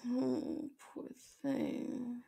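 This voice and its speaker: Woman acting as a gentle mommy-type girlfriend, asmr roleplay, mellow whispery voice w lots of fry, babying. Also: fairly high pitch, wildly swooping pitch, very slow, full character voice. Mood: caring, gentle. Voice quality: soft, breathy, often whispering. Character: tender, doting dominant girlfriend comforting her sick partner. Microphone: close ASMR microphone.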